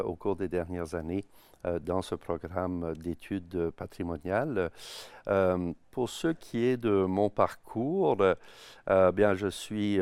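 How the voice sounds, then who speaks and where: Male academic, middle-aged, very low smooth voice, halting with frequent fillers, dry close sound. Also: darkish tone, no echo. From Canada. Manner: hesitant, many "euh" fillers, measured. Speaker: history and ethnology professor. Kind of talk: interview, career account.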